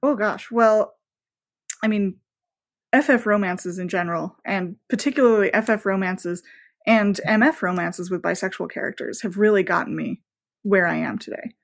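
A woman speaks in a medium-pitched voice as the guest in a casual interview. She is chatty and effusive, informal in her phrasing, and punctuates her thoughts with emphatic asides. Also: normal speed, creaky. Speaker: podcast guest, romance novelist.

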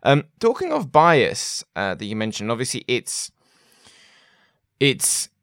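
A man's voice: mid-range voice, huge pitch swings, slow, a bit bright, no echo, studio quality. A man hosting an interview, chatting in a rambling way with many fillers and repeated words. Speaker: host. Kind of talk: casual interview chat.

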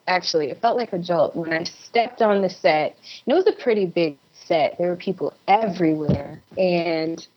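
A dull, lo-fi recording carries a young woman's fairly low voice. She is from Texas and speaks conversationally as a guest being interviewed. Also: darkish tone. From Houston, Texas. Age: young adult. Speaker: interview guest, actor and director.

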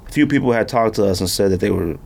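A man's voice: on the low side, lively intonation, rapid-fire, gravelly, darkish tone. A man hosting a podcast, talking in a casual, slangy, conversational way. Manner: informal slangy talk. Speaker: podcast host. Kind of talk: casual sneaker podcast chat.

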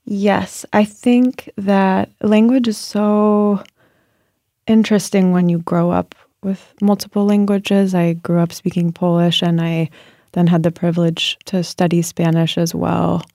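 A woman's voice: on the low side, level tone, a little slow, warm tone, no echo, clean studio sound. A woman writer answering interview questions in a thoughtful, searching way, with many fillers and restarts. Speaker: writer and guest.

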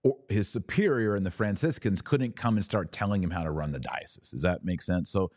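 A man's voice: low voice, lively intonation, brisk, darkish tone, no room sound, clean studio sound. An American man speaking as a podcast host in conversational religious commentary, emphatic, often in short repeated phrases.

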